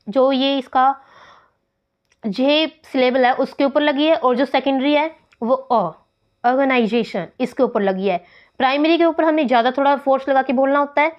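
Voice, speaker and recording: An Indian woman teaching English grammar for exam prep. She reads English text slowly and explains it in quick Hindi, switching between the two languages. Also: sits high, highs cut off.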